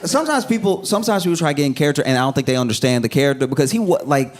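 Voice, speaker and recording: A man doing a stand-up routine, telling a story with animated bursts and acting out scenes. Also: fairly high pitch, rapid-fire, a crowd in the background. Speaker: stand-up comedian. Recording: live stage recording with audience noise. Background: audience laughter.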